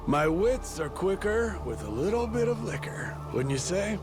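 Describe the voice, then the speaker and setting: An actor playing a smug, boozy, unhelpful island boatman with a gravelly, lazily teasing voice, in an English video game dub. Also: sits high, sing-song, moderate pace, music in the background. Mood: smug, lazy. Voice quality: gravelly, creaky.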